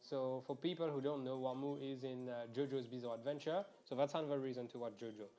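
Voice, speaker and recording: A man's voice: mid-range voice, fairly even intonation, fast talker, warm tone, soft background music. A young man chatting casually to viewers while live-streaming a game, rambling and swearing freely, recorded through an earphone mic at home. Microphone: earphones mic, quiet and low in level.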